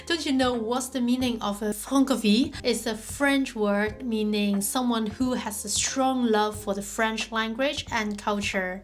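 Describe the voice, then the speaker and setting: A young woman from Hong Kong talking to camera as a language vlogger, upbeat and chatty, asking the viewer direct questions. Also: on the high side. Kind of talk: scripted talk to camera.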